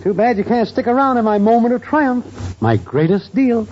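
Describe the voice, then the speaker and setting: An actor playing a brash small-time schemer in a 1940s radio sitcom, boastful and slangy like a pitchman. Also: very animated, moderate pace. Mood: cocky. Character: brash small-time schemer boyfriend, cocky and fast-talking salesman. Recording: muffled, noisy old radio, studio audience.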